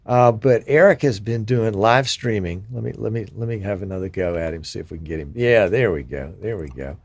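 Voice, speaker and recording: A middle-aged man hosting a casual streamed talk show, chatty and quick to joke and laugh with his guest. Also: fairly low pitch, no echo. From Gulf Coast near Mobile, US. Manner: chatty, jokey, laughs easily. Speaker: show host. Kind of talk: casual interview chat.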